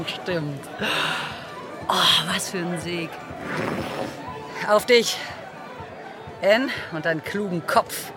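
A woman's voice: lowish voice, very slow, pauses often, over music, crowd noise. An actress playing a carefree, admiring young woman pirate in a radio drama, with an amused manner.

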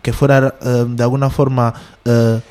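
A man's voice: medium pitch, level tone, normal speed, warm tone. A man from Portugal, a native Portuguese speaker with a Portuguese accent, talking as a guest musician in a casual radio interview, often hesitating and repeating words as he searches for them.

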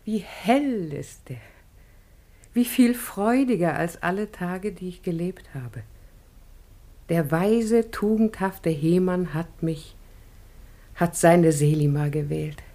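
An actress playing a tender young biblical bride and devoted daughter, speaking lyrically in a classic radio drama. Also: female voice, lowish voice, slow pace, long pauses, dark tone. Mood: blissful, tender. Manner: lyrical, declamatory.

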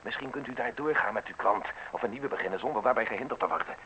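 An actor playing a conflicted, polite state prosecutor in a radio play, speaking in a formal, measured, courteous way on a hissy old recording. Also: male voice, lowish voice, sharp tone, poor audio. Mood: urgent, persuasive. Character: conflicted male state prosecutor, polite, evasive family man. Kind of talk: Dutch radio play acting.

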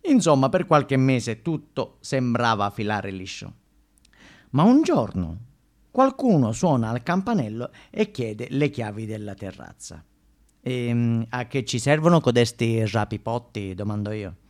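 A man's voice: medium pitch, big pitch swings, measured pace. An actor playing an aggrieved, wry Sicilian man who tells his story in a florid, theatrical monologue. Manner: florid, dramatic, acts out noises and dialogue.

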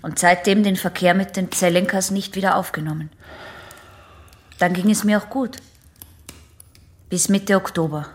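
An actress playing a troubled, guarded young patient in a radio drama, hesitant. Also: female voice, lowish voice, steady tone, moderate pace, long pauses, lightly acted. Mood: confiding. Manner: hesitant, broken sentences.

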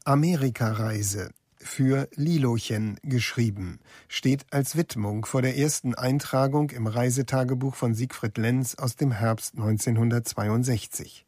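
Mellow male documentary narrator, dry studio. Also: medium pitch, a little slow, no room sound. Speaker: narrator of a radio feature.

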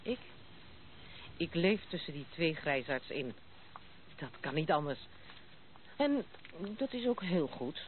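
A woman's voice: sits low, big pitch swings, full character voice. An actress playing a blunt, proud, self-assured young noblewoman in a radio play. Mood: blunt, dismissive. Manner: brisk, self-assured, outspoken. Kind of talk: Dutch radio play acting. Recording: muffled narrow-band old radio recording, noisy.

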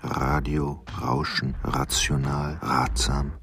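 An actor in a radio drama playing a cold, violent man who recites brutal fantasies in clipped fragments, sometimes whispering, with a gravelly voice. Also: extremely low pitch, little pitch movement, takes it slowly, a bit bright, exaggerated voice. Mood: cold, menacing. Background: music.

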